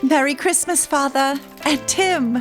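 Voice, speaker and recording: A woman acts an eager young daughter in a radio drama. Her voice is high and affectionate, over clear background music. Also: unhurried. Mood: excited, fond. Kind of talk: radio play acting.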